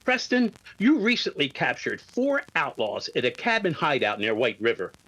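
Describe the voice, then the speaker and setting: A man playing a stern, formal police inspector in a 1950s-style radio drama, speaking with measured authority. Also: fairly high pitch, big pitch swings, a bit bright, poor audio.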